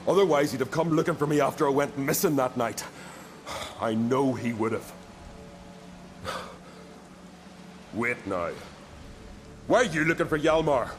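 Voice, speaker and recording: An actor playing a loyal, hot-headed young island warrior in a video game, with an earnest, colloquial way of telling a tale. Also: male voice, mid-range voice, normal speed, slight background noise, close to an everyday voice. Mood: wary, earnest. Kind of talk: dramatic video game voice acting.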